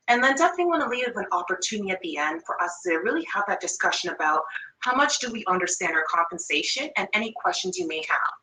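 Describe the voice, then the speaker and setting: A woman's voice: medium pitch, pushed and bright, reverberant, far from the mic, low-quality recording. A woman in her thirties from Jamaica with a light Jamaican accent, giving an upbeat online workshop presentation on pay. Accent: Jamaican, with Toronto and New York influence. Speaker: workshop presenter, finance manager.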